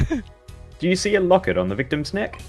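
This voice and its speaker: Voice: mid-range voice, big pitch swings, fairly quick, faint music behind, lightly acted. A person voicing an earnest young male defense attorney, dramatic and emphatic, in a live fan dub of a courtroom game. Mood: insistent.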